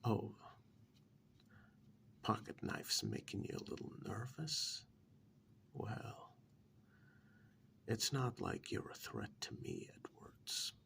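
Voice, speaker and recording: Man acting a gravelly, half-whispering menacing club boss. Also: fairly low pitch, wildly swooping pitch, exaggerated voice. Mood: calm menace. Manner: slow, deliberate, quietly menacing with drawn-out pauses. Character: menacing, soft-spoken male club boss and ex-military man. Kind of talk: soft-spoken ASMR role-play monologue.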